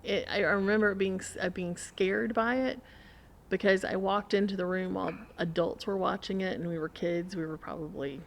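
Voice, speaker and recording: A middle-aged woman telling stories in casual conversation, in an animated, rambling way. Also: medium pitch, normal speed, creaky, a little hiss or hum. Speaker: podcast guest or co-host. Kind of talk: casual chat, storytelling.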